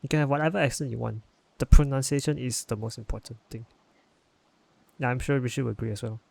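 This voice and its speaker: A young man with a gravelly, voice, chatting as a podcast co-host. He talks in a relaxed way and often stumbles and restarts. The sound is close and dry. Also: mid-range voice, quick pace, no echo. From Malaysia. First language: Chinese. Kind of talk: casual chat.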